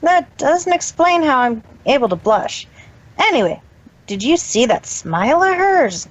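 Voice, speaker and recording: A woman's voice: sits high, sing-song, a little slow, lo-fi sound. A woman voicing a young male dragon, a jumpy, wisecracking kid sidekick in a fan-fiction audio drama. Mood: explaining, wry.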